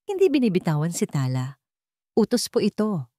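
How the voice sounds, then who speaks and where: A woman's voice: medium pitch, wildly swooping pitch, normal speed, warm tone, no echo, clean studio sound. Woman playing a firm, emotionless male guard. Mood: apologetic, firm. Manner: polite but emotionless. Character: stern male mansion guard following orders.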